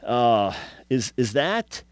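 A man's voice: medium pitch, sing-song, drawn-out words, smooth, no echo. A man in his fifties hosting a radio talk show, speaking emphatically and asking rhetorical questions. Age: 50s.

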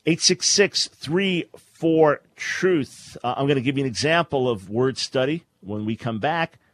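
A man's voice: mid-range voice, animated, measured pace, a bit bright. A man hosting a call-in radio show, explaining Bible languages in a scholarly way with frequent restarts. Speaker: radio talk show host.

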